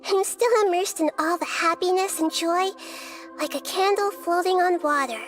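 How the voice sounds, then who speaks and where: A woman voicing a calm, thoughtful young girl who is a goddess of wisdom in a game, speaking softly and in measured phrases. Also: very high voice, faint music behind. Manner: soft, measured, explanatory. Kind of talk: video game dialogue.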